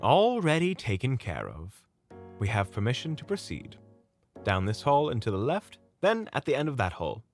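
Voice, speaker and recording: A man's voice: mid-range voice, huge pitch swings, normal speed, soft background music. A man playing a sociable, chatty monster hunter with polite, eloquent speech in an audio roleplay drama. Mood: breezy, teasing.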